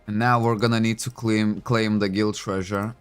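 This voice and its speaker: A man's voice: lowish voice, little pitch movement, normal speed, clear, resonant tone, faint music behind. A man whose first language is Lithuanian, chatting casually at home into a desk microphone while playing a game, thinking aloud with many fillers. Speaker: game streamer. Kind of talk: casual livestream commentary.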